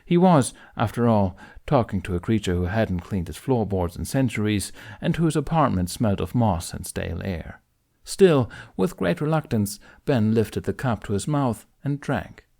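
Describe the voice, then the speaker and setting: Low-voiced man narrating a story. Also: expressive, moderate pace, vocal fry, dark tone. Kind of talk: audiobook narration of fan fiction.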